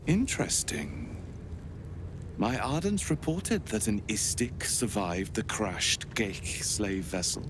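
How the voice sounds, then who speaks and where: Haughty alien commander, swooping slow speech. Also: male voice, lowish voice, very animated, background noise. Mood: coolly intrigued. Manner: formal, deliberate, coolly appraising. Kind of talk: video game voice acting.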